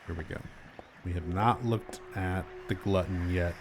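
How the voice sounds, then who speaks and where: Low-voiced man with a sore throat. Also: unhurried, noisy background. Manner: explanatory, chatty, gets animated when amused. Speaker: streamer. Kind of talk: casual game commentary.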